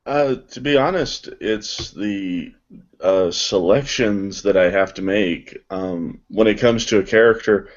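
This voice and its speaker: A man, a voice actor, answering interview questions casually in a deliberate, wordy way. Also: on the low side. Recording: dull lo-fi online call audio.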